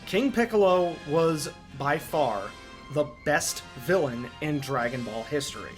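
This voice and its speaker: Emphatic male video essayist, soft music behind. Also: a little high, expressive, unhurried. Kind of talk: opinionated video essay commentary.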